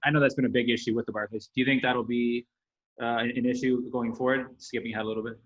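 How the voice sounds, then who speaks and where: A man co-hosting a sports podcast, asking casual questions in halting, rambling phrases with a roomy sound. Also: mid-range voice, flat delivery, lo-fi sound. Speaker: podcast co-host, interviewer.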